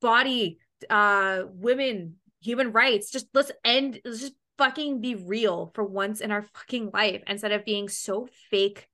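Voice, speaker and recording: A woman's voice: mid-range voice, unhurried, hardly any pauses, sharp tone. A woman in her late twenties from Canada, hosting a casual podcast interview, chatty and swearing freely. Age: 20s. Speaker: podcast host.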